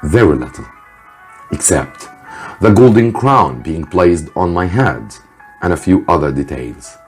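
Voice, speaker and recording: Deep, husky, slow male story reader. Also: lively intonation, darkish tone. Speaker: English teacher reading a story aloud. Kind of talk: scripted story narration for students. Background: faint music.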